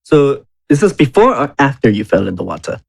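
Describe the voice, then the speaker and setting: Man playing a tabletop game, mid-pitched. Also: sing-song, fairly quick, creaky, slightly rough. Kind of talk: casual in-character game banter.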